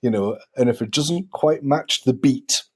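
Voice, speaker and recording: A man's voice: mid-range voice, a little slow, darkish tone, slightly rough. A man hosting a podcast in a casual, conversational way, encouraging and enthusiastic toward the guest. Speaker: podcast host.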